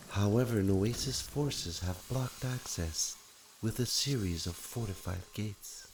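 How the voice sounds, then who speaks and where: An actor voicing a calm, authoritative AI guide, giving a measured briefing in a video game. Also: male voice, deep voice, background noise. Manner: measured, formal. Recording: music behind the voice.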